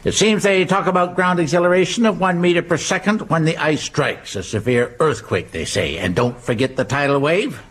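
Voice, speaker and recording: A blunt American city mayor in a radio thriller, with a husky edge to his voice. He talks combatively and colloquially, hammering his points home in exasperation. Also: fairly high pitch, moderate pace, hardly any pauses, fairly bright tone. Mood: exasperated.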